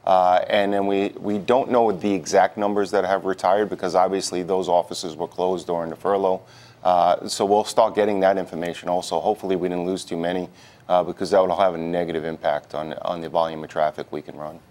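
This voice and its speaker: A man with a low voice speaks as an interview guest on a news broadcast. He talks in a halting way, often repeating a word before moving on. He sounds like an organization's representative giving answers. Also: level tone, a little mellow. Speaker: union president, interview guest.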